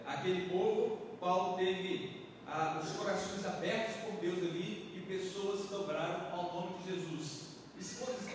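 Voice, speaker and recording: A Brazilian pastor preaching a sermon to his congregation in a conversational way, often checking in with the listeners through short tag questions. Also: sits high. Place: church sanctuary. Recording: echoey church hall from far off with background noise.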